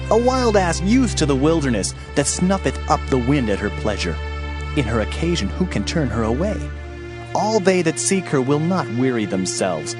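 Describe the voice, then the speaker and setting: A man narrating an audio Bible as a dramatized reading, with a solemn, declamatory delivery. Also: mid-range voice. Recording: music bed behind narration.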